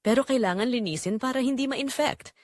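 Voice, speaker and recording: A woman's voice: fairly high pitch, fairly quick, dry recording, studio quality, pitched above the performer's own voice, lightly acted. A woman voicing a friendly, caring young male auto shop mechanic in a dramatic audio story. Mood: gentle, reassuring.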